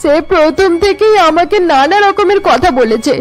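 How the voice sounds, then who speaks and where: Elderly mother-in-law, cartoon melodrama: high-pitched, plaintive, remorseful, music underneath. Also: expressive, moderate pace. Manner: plaintive, pleading. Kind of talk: cartoon family melodrama acting.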